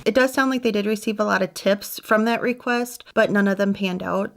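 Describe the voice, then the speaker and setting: A woman's voice: mid-range voice, fairly even intonation, a little fast, gravelly, faint music behind. A woman hosting a true crime channel, narrating in a casual, conversational way with personal asides.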